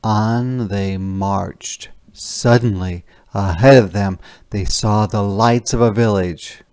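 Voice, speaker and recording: A man narrating a children's story in a deliberate, clearly enunciated read-aloud. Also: lowish voice, steady tone, darkish tone, dry recording, clean studio sound. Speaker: storyteller reading a picture book aloud.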